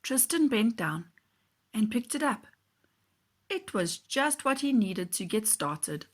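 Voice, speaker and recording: A woman teacher reading a children's story aloud in an expressive, deliberate storytelling way. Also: a little high.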